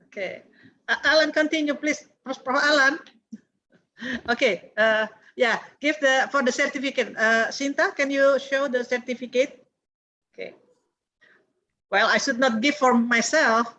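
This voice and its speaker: A woman's voice: high-pitched, a little slow, long pauses, bright, forceful tone, a little hiss or hum. A woman hosting a webinar on a video call, giving short prompts with fillers and light laughs. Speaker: webinar host and founder.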